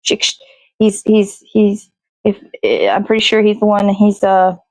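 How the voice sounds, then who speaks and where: A woman's voice: mid-range voice, sing-song, unhurried, a little mellow, no echo, low-quality recording. A woman hosting a casual puppet show livestream, chatting in an informal, rambling way.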